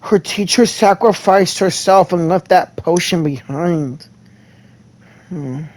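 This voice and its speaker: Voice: mid-range voice, slow, a little mellow. A game player giving casual playthrough commentary, reacting aloud to story scenes.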